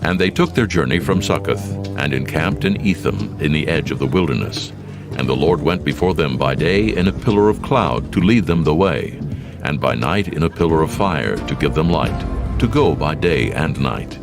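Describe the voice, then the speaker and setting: Very deep, gravelly male narrator. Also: expressive, music in the background. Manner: solemn, measured. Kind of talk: scripted scripture narration.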